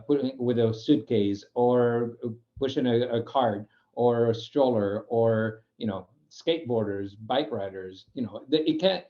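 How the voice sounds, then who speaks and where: A man's voice: on the low side, dull audio. A man from Spain, a non-native English speaker with a Spanish accent, gives an informal explanatory presentation, often restarting phrases and searching for words. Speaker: UX program manager giving a guest talk.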